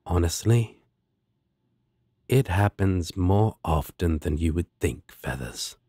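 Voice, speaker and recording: A man's voice: low-pitched, animated, slow, dark tone, breathy edge, no echo, clean studio sound, exaggerated voice. A man playing a smug, teasing demon with a sardonic, drawling manner in an audio roleplay. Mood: sardonic, explaining.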